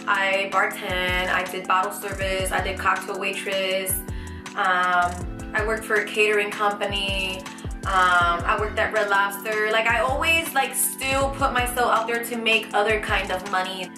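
A young woman in her twenties, chatty and animated, answering viewer questions in a casual vlog from a small bedroom. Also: medium pitch, measured pace, roomy sound, music in the background. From United States. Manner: chatty, animated, lots of fillers and restarts. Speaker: vlogger. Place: small bedroom with shelves, indoors.

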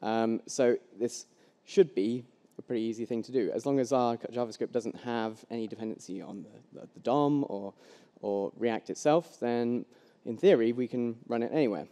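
Young man, mellow low voice, casual demo talk, hall reverb. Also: normal speed, hardly any pauses. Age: young adult. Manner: casual, loose, many ums and restarts. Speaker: conference speaker. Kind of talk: live coding demo talk. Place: large hall with long reverb. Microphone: headset mic. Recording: echoey hall.

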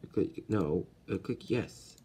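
Slow, halting low-voiced man. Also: animated. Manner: halting, step-by-step delivery with self-corrections. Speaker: tutorial host. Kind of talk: casual software tutorial. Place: indoors at a computer. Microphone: handheld camera or phone filming the screen. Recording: noisy handheld recording with computer clicks.

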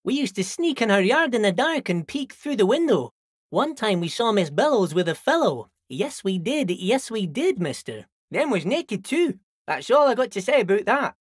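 A high-voiced folksy old dockworker. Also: male voice, very animated, moderate pace, breathy edge, no echo, studio quality, exaggerated voice. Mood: friendly, chatty. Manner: folksy, rambling, repeats himself. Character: friendly simple-minded old dockworker, chatty and loyal. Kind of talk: video game character dialogue.

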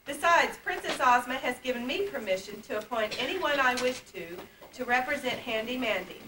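A woman's voice: sits high, lively intonation, moderate pace. A woman playing a kindly, reassuring young princess in an amateur puppet play, speaking earnestly. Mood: amused, firm. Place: indoor puppet stage, far camera. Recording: distant, echoey, noisy old camcorder tape.

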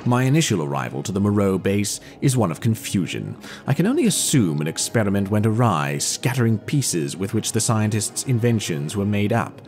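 An actor playing a brisk, earnest police detective in an audio drama, speaking formally with wordy, precise diction. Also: male voice, on the low side, big pitch swings, darkish tone, soft background music. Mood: narrating.

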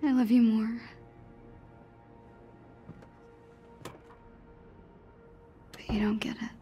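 A woman's voice: a little high, flat delivery, fast talker, mellow, dull audio, soft background music, lightly acted. A young woman playing an eager, restless American teenage girl who mutters to herself in a video game. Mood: reluctant. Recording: clear ambient noise.

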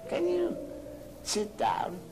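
An actor playing a crotchety, blustering old miser in old radio drama style. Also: male voice, extremely high pitch, very animated, takes it slowly, noisy background, full character voice. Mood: scornful, defiant. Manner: querulous, blustering. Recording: old record with loud music.

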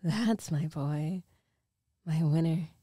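Slow, mellow, teasing dominant girlfriend played by a woman. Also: deep voice, a little hiss or hum. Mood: affectionate. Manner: slow, coaxing, breathy teasing, often dropping to a whisper. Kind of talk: intimate ASMR roleplay.

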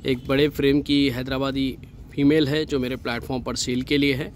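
A man's voice: mid-range voice, little pitch movement, a little fast, slight background noise. A man with a Hyderabadi accent, a goat farm owner giving a chatty, persuasive sales walkthrough outdoors in a goat pen. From India.

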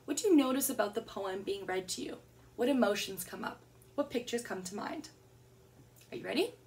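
A young woman teacher giving an online lesson from her home, speaking gently and encouragingly to children and reading a poem aloud. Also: mid-range voice, animated, fairly quick, distant mic. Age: young adult. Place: home room with plants and shelves, indoors. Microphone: webcam at desk distance.

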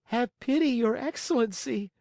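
A man's voice: sits high, animated, measured pace, dry recording, voice pushed up. A man voicing a small wooden boy marionette, earnest, brave and kind, in a storybook reading. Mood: tearful pleading. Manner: pleading, earnest delivery.

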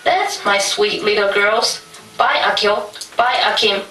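A woman playing a caring, cautious mother in a puppet show, instructive and deliberate. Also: mid-range voice, sharp tone. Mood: caring, cautioning. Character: caring, cautious mother of two young girls. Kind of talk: puppet show stage acting. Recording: echoey room from far off, faint hiss.

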